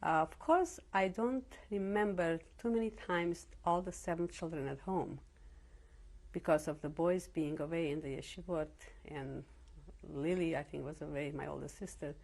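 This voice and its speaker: Woman in her seventies, from Czechoslovakia, non-native English, lowish voice, reflective, hesitant. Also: moderate pace, creaky, darkish tone. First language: Yiddish or Slovak. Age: 70s. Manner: reflective, with frequent hesitations and restarts. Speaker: interviewee, Holocaust survivor. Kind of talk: oral history interview. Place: home interview in Cleveland.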